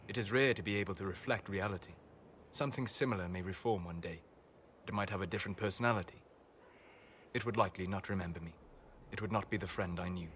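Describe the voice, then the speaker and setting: Actor as solemn elven sage for a fantasy game; low, thoughtful, formal, light ambience behind. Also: male voice, a bit bright, a little hiss or hum, close to an everyday voice. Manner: measured, reflective, formal. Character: calm, melancholy elf scholar mage, solemn and wise. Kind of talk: dramatic game cutscene acting.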